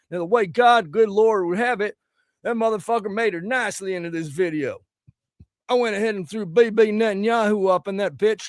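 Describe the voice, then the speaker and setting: A man's voice: sits high, no room sound, studio quality. Foul-mouthed ranting man. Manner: loud, crude, preachy, swearing constantly. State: slightly scratchy voice from singing. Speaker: musician promoting his song. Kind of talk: profane political rant to camera.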